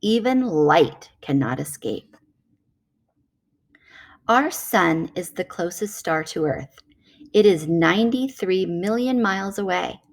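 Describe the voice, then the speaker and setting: A woman reading a nonfiction children's book aloud in a measured, explanatory way. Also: on the low side, expressive.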